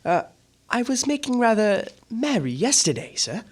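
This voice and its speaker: A woman playing a meek, apologetic male clerk in a radio drama, timid and halting, with a breathy voice. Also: fairly high pitch, very animated, a little mellow. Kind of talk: theatrical radio drama acting.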